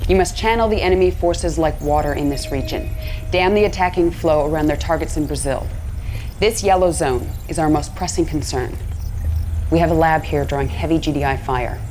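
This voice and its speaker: An actress playing a proud, resentful military commander of a fanatical army in a video game cutscene, intense and commanding. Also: female voice, sits low, a little fast, darkish tone, faint music behind, natural voice. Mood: resolute, urgent.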